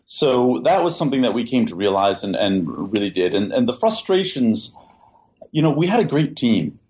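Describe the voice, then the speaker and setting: A man answering interview questions at length, in a measured way, often repeating words as he thinks. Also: mid-range voice, big pitch swings, a little mellow. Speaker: interview guest, translator. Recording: dull lo-fi sound, faint hiss.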